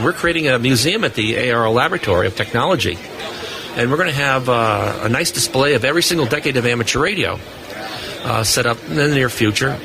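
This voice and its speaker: A man speaking as an expert guest on a live show, explaining technical points casually and with enthusiasm. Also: mid-range voice. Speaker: radio lab expert guest. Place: busy hamfest exhibit hall, live booth. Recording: live from a crowded convention floor. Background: crowd noise.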